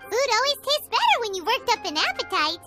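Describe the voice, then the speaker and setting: Tiny chirpy fairy companion, very high squeaky voice, bouncy lively pitch, game music behind. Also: female voice, a little fast. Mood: cheerful. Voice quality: squeaky, childlike, speaks of herself in third person. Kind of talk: video game voice acting.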